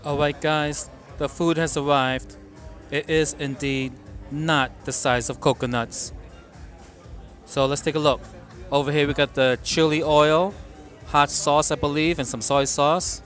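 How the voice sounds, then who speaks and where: Middle-aged guy vlogging from a restaurant booth, deadpan and wry, close mic, faint music in the back. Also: fairly high pitch, measured pace. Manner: wry, deadpan remarks about prices. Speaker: food vlogger. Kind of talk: casual restaurant review vlog. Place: dim restaurant booth, indoors.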